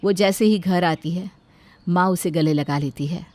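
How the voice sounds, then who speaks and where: A woman's voice: fairly low pitch, measured pace, a little mellow, no room sound. A woman reading scripted narration of a moral story as its storyteller.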